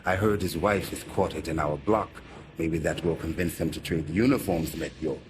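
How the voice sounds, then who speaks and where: Weary camp prisoner, low voice and broken English, noisy game audio. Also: male voice, normal speed. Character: weary male prison camp inmate, kind. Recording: game audio with clear background noise.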